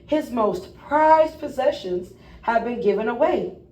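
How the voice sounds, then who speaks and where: A woman teacher reading a children's picture book aloud in an expressive storytelling style. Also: fairly high pitch, a little slow. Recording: roomy, distant mic, faint noise.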